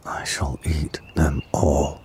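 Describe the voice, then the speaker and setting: A man voicing an enormous greedy crocodile in a storybook, scheming and muttering to himself with slow relish. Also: deep voice, expressive, warm tone, a little hiss or hum. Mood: sly, greedy.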